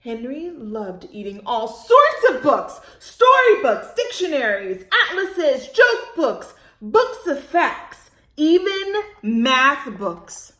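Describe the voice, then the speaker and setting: A woman hosting a story time for young children, reading aloud with dramatic emphasis, drawn-out words and questions to her listeners. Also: high voice, sing-song, bright, forceful tone, reverberant.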